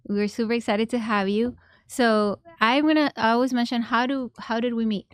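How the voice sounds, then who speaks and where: Clear, smooth-voiced female podcast host. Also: fairly high pitch, steady tone, normal speed, no echo, studio quality. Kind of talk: conversational podcast interview.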